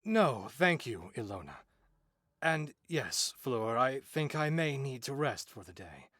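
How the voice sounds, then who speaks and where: A man's voice: mid-range voice, big pitch swings, takes it slowly, a bit bright, dry recording, heavily acted. A man playing an anguished, self-loathing cursed ex-soldier in a visual novel, weary and dramatic. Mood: weary, polite. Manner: dramatic, despairing, polite but weary.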